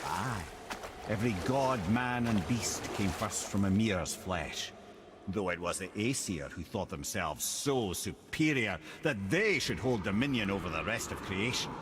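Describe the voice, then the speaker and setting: An actor playing a wry, learned old storyteller, telling myths in a theatrical cadence. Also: male voice, lowish voice, expressive, unhurried. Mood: grand, narrating. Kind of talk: mythic storytelling in game dialogue. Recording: clear boat and water ambience noise.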